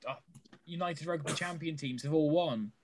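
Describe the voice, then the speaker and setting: A young man from Wales co-hosting a podcast, loud, teasing and sweary in casual banter. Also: on the high side, normal speed, a little hiss or hum. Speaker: podcast co-host.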